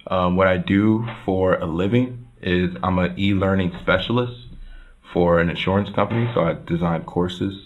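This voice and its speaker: A very deep-voiced man from Pennsylvania comes through a thin, phone-like line. He talks in a relaxed way as a podcast guest, with frequent ums. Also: normal speed. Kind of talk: casual interview talk.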